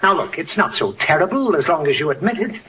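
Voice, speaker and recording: An exasperated radio-comedy father, blustery and quick to scold. His highish voice swoops about theatrically, heard through a muffled old broadcast. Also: expressive, sharp tone, slight background noise. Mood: lecturing. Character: exasperated, blustering suburban father, easily riled. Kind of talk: 1940s radio comedy acting.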